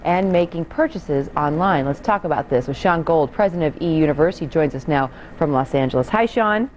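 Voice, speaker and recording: An American woman anchors a television news interview. She speaks in a broadcast presenter's style at a middle pitch, with some noise faintly behind her voice. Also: lively intonation, normal speed, a little mellow. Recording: TV broadcast with clear background noise.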